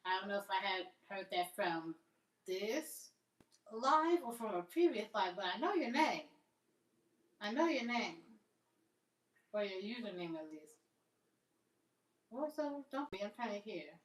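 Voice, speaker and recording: A woman's voice: medium pitch, lively intonation, a little slow, pauses often. Playful woman in her thirties, roomy sound. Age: 30s. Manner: chatty and playful, reading viewer comments. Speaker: livestreamer. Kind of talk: casual live-stream chat. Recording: roomy, distant from the mic.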